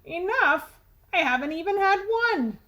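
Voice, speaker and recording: A woman's voice: very high voice, expressive, a little slow, bright, forceful tone, voice pushed up, full character voice. A woman voicing a brash, nagging, impatient talking tooth that demands candy in a storybook reading. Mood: greedy, goading.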